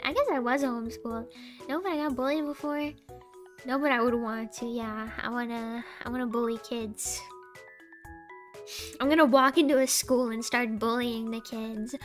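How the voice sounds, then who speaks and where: A young woman with a small, sweet voice, chatting casually on a live stream, rambling and giggling between deadpan jokes. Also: high-pitched, a little slow, long pauses, faint music behind.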